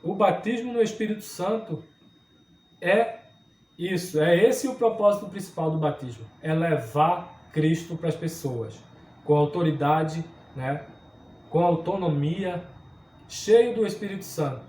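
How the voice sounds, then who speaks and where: A man's voice: on the high side, measured pace, darkish tone, echoey room, recorded from a distance, rough recording. A man from southern Brazil teaching a Sunday school Bible lesson, earnest and explanatory, often checking in with his listeners. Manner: earnest teaching, frequent tag questions. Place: church room.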